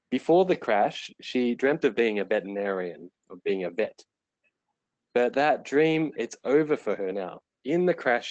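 A man presenting a webinar, explaining his subject in an earnest, measured way over a remote call microphone. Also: on the low side, darkish tone, dry recording, low-quality recording.

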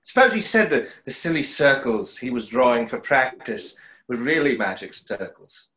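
Casting: wistful, self-doubting conjurer, played by a man, mid-pitched and animated, hesitant stage-play delivery, roomy sound. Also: moderate pace. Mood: wistful, storytelling. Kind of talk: stage play read aloud over video call. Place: small home room, on a video call. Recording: roomy echo of a video call.

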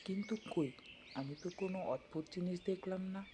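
A woman's voice: lowish voice, a little fast, very noisy, natural voice. A woman playing a stubborn, fearless young grandson in a folk-tale cartoon, insistent and argumentative. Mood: defiant.

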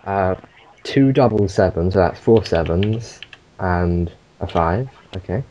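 A confused elderly foreign lady, voiced by a man, stumbling over digits and mishearing everything. Her voice sits low. It comes through a muffled phone recording. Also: slow, warm tone, slight background noise. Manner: fumbling, mishears numbers. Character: flustered foreign old woman, hard of hearing.

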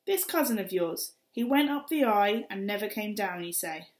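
A woman's voice: medium pitch, lively intonation, moderate pace, close to an everyday voice. A woman voicing a shifty, wary adult male stranger in an audiobook, evasive and defensive. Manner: evasive, defensive, streetwise slang. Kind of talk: audiobook character reading. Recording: roomy echo.

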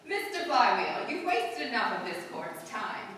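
A woman's voice: medium pitch, big pitch swings, unhurried, fairly bright tone, slight background noise. A woman playing an exasperated, formal judge in a live stage recreation of a 1930s radio comedy. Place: community hall stage with live audience. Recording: echoey hall from far off.

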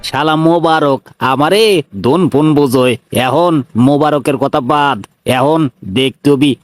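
A man's voice: on the high side, fairly even intonation, unhurried, natural voice. A man voicing a brash, bullying cartoon police inspector, blunt and threatening, with a Bangladeshi regional Bengali accent. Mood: smug, determined. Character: brash, bullying male police inspector, tough and self-righteous. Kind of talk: comic cartoon dialogue.

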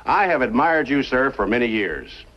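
An actor playing an earnest, courteous, folksy small-town banker in a 1950s television western. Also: male voice, mid-range voice, big pitch swings, normal speed, close to an everyday voice. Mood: grateful. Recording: dull old 1950s television soundtrack.

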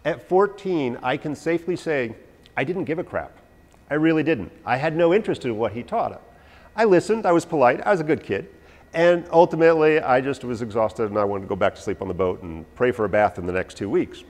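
Animated man lecturing in a reverberant hall. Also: medium pitch, brisk. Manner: animated, anecdotal, self-deprecating, gesturing while talking. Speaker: herbalist lecturer. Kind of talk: informal talk to a live audience. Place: large hall with a stage, indoors. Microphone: no visible mic, far camera.

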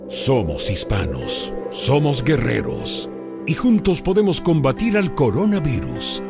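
Man, PSA, gravelly, lively intonation, loud music underneath. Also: mid-range voice, a little slow. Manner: rousing. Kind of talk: public service announcement.

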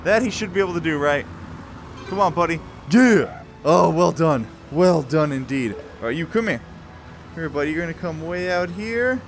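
Young man, high voice, casual gamer host, playful exclamations, game music behind. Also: lively intonation, normal speed. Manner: playful, exclamatory, talks along while moving in a VR game. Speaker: gaming video host. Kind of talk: casual excited gameplay commentary.

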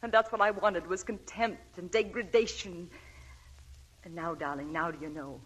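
An actress playing a desperate, devoted young wife in a 1940s radio drama, pleading and emotional. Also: female voice, fairly low pitch, normal speed, low-quality recording. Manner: pleading, emotional, breathy whispers.

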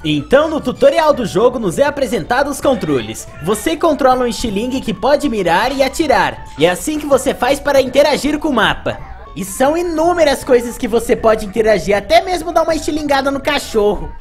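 Brazilian girl doing chatty game video narration, high voice, goes up and down a lot, faint music. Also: sing-song, brisk, a little mellow. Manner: playful, chatty. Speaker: video host. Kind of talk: casual scripted video game commentary.

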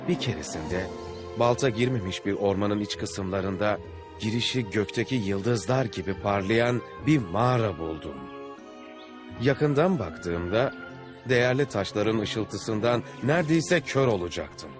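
Earnest adventurer in a cartoon, declaiming his tall travel tales, music playing loud behind. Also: on the low side, expressive, unhurried. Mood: earnest wonder. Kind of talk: dubbed cartoon storytelling.

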